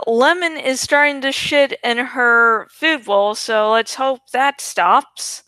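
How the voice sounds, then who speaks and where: A woman host talks to her audience in a casual, informal way, swearing freely. Her voice is fairly high, clear and smooth. The sound is dry and close, like a simple solo channel update. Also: sharp tone, no room sound. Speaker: host of a book-reading channel. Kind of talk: casual chatty video outro.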